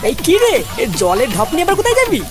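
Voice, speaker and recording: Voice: extremely high pitch, wildly swooping pitch, fast talker, exaggerated voice. A performer voicing a bossy, practical male gang leader in a comedy cartoon, handing out orders. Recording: music behind the voice. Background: background music.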